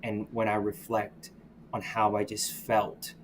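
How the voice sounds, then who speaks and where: A middle-aged man, a podcast guest from the United States, talking candidly about his past in a reflective, halting way, often restarting and saying "you know.". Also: on the low side, monotone, slight background noise. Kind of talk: candid personal storytelling.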